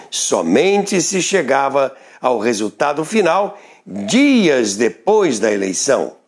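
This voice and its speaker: Lively male documentary narrator. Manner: measured, storytelling delivery with lively pitch. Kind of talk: scripted narration.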